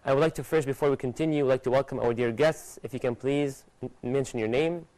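Fast-talking young man teaching on TV. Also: medium pitch, darkish tone. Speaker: TV presenter of religious lessons. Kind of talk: religious teaching to studio guests. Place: TV studio with guests. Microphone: lapel mic.

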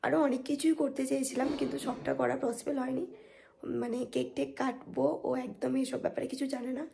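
A hoarse woman with a sore throat talking softly into a phone held at arm's length in a small hotel room. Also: high voice, level tone, fast, noisy background. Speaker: travel vlogger. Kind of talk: selfie vlog talk to camera. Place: small wood-panelled hotel room, indoors.

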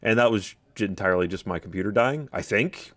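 A man's voice: on the low side, a little fast, no room sound. A man in his thirties co-hosting a gaming podcast, chatting casually in a playful, joking way. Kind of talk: casual chat. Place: podcast studio, indoors. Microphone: studio podcast microphone at desk.

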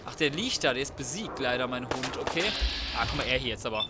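A man's voice: mid-range voice, expressive, fast talker. A man giving casual, colloquial let's play commentary, swearing and reacting aloud as he plays. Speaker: gaming YouTuber. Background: video game sound and music.

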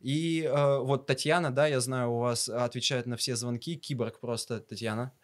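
A young man speaks as a podcast host in a conversational interview. His voice sits at an average pitch, and he builds long, searching sentences. He is recorded on a close microphone in a small studio. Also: fairly even intonation, normal speed. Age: young adult. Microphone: boom-mounted studio microphone up close.

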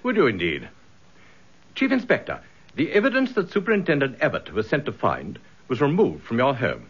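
An actor playing a stern, haughty senior British police commissioner in a radio drama, pompous, cold and imperious. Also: male voice, medium pitch, wildly swooping pitch, normal speed, husky, full character voice. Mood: stern, affronted. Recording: slightly dull radio drama recording.

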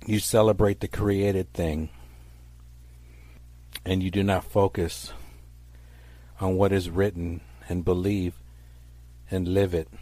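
A man delivers a fire-and-brimstone sermon in a low, mellow-toned voice. His manner is stern and accusatory, with declamatory emphasis on his phrases. The sound is dry and close, without room reflections. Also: little pitch movement, slow pace, long pauses, no room sound. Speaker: preacher.

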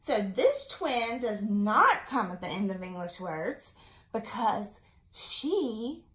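A woman in her thirties giving young children a lesson, speaking as an encouraging, deliberate teacher. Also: medium pitch, very animated, a bit bright, poor audio. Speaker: elementary school teacher. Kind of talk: children's video mini lesson.

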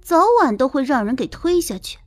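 A woman voicing a haughty, menacing young concubine in an audio drama, sneering and scolding with drawn-out threats. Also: sits high, expressive, darkish tone, faint music behind. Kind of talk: audio drama character acting.

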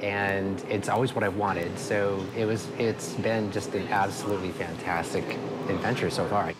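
A man's voice: low voice, fairly even intonation, normal speed. A man in his forties answering casually in an interview as a small business owner. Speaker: coffee shop owner being interviewed. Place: small room indoors. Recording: loud background noise.

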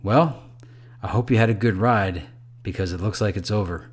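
A man's voice: on the low side, normal speed, husky. Man voicing a resigned, fatalistic father. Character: resigned, fatalistic father calmly announcing the end.

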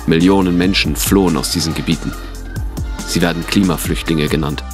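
Very deep-voiced male narrator. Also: fairly quick. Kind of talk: scripted dramatic audio play narration. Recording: music under the voice.

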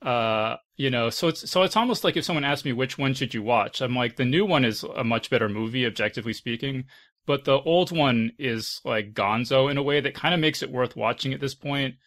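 A man's voice: medium pitch, fairly quick, a bit bright. Man hosting a casual panel chat. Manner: conversational, frank, swears casually. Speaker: podcast host. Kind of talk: casual panel discussion of films.

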